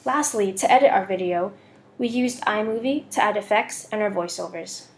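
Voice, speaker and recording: A woman's voice: mid-range voice, moderate pace, a little hiss or hum. A young woman with an American accent narrating a scripted class presentation as a student voice-over. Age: young adult.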